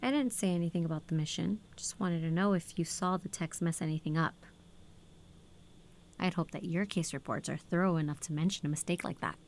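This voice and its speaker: A woman acting in an audio drama as a sharp, defiant military lieutenant with a dry, sardonic manner. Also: fairly low pitch, fairly quick, slight background noise, close to an everyday voice. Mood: dry, pointed. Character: sharp, defiant female military lieutenant, operations radio officer.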